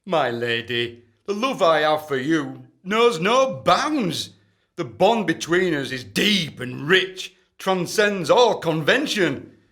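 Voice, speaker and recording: Guy doing a gushy lovesick duke, kinda high voice, lively, over-the-top radio comedy. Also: slow, heavily acted. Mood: gushing, ardent. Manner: florid, melodramatic, gushing. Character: pompous, amorous, pushy duke wooing a lady. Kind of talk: comic period radio play acting.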